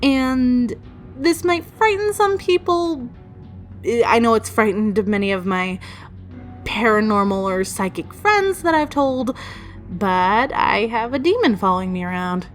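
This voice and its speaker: Animated, higher-voiced chatty woman storyteller. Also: a little slow. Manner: chatty, rambling, animated with playful emphasis. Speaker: storytelling video creator. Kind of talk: casual personal storytelling. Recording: music behind the voice. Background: background music.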